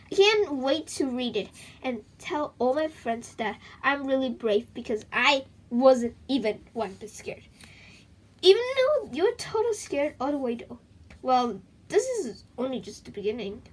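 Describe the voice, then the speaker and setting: A woman reading a children's book aloud in an expressive, dramatic way, with chatty asides to her young listeners. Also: sits high, unhurried, slightly rough, low-quality recording.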